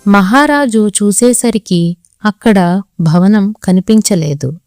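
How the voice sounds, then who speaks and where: A woman's voice: mid-range voice, slow, a little mellow, dry recording, clean studio sound. Woman narrating a children's story, music behind.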